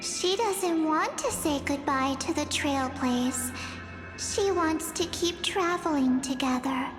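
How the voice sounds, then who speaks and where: Squeaky, clingy little memory sprite. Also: female voice, takes it slowly. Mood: earnest, insistent. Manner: earnest, pleading. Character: cute, clingy childlike memory sprite, female, insistent and pleading. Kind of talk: game voice acting, dramatic dialogue. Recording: long echoey reverb, music behind.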